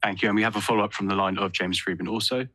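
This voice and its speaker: Very fast, bright male call operator. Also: on the low side, steady tone. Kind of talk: formal call moderation.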